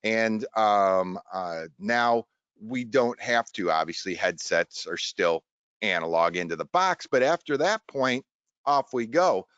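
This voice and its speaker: A man hosting a casual tech discussion in a halting, rambling way over an online video call. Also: lowish voice, lively intonation, fairly bright tone, smooth, dry recording. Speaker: host of a show tech webinar. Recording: dull, lo-fi online stream audio.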